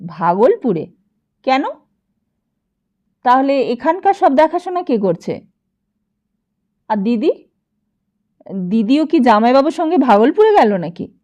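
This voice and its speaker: Woman voicing an agitated, high-pitched, demanding man. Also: big pitch swings, takes it slowly, long pauses, mellow, full character voice. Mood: bewildered, demanding. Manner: insistent, exclaiming, firing questions. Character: agitated, bossy brother-in-law, bewildered man demanding answers. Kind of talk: audiobook character dialogue.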